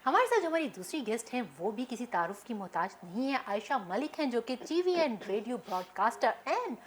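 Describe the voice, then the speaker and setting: A woman's voice: on the high side, very animated, normal speed, a little hiss or hum. A woman with a Pakistani accent hosting a TV talk show, effusive and emphatic, often mixing in English.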